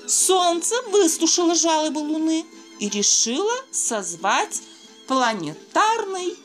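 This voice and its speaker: A woman reading a children's fairy tale aloud, expressive and speaking fondly to young listeners. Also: very high-pitched, slow pace, over music. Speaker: storyteller.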